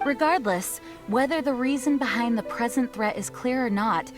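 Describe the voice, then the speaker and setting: A composed female knight commander who speaks formally and thoughtfully, with a slightly high voice, played by a woman. Game music plays clearly behind her. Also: moderate pace, close to an everyday voice.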